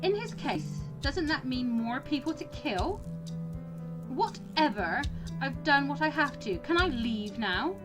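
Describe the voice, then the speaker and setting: Woman as young female student, anguished and bitter, dramatic game narration, high and very animated. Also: takes it slowly, music in the background. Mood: bitter, defiant. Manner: dramatic, emphatic first-person delivery.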